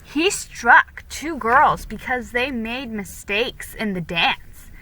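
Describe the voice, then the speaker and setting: A woman's voice: a little high, expressive, slow, pushed and bright, heavily acted, poor audio. A woman voicing a gossipy, haughty colonial schoolgirl who prattles in a storybook reading. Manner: prattling, tittering.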